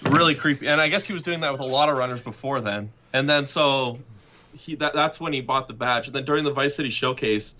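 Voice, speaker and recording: A man's voice: medium pitch, brisk, bright, forceful tone. A man guest on a podcast, chatting casually and telling laid-back anecdotes, swearing freely and acting out what people said. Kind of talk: casual chat.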